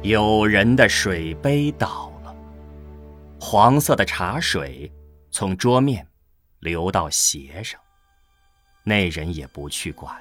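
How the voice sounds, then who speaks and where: A man's voice: low-pitched, lively intonation, takes it slowly, long pauses, fairly bright tone, faint music behind. A man narrating a scripted science-fiction radio drama.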